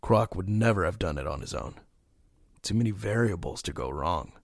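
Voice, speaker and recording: A man playing a grim masked vigilante detective in an audio drama, terse and stern, with a gravelly, husky voice. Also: sits low, lively intonation, moderate pace, no room sound, clean studio sound, exaggerated voice. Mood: brooding. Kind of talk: audio drama narration and dialogue.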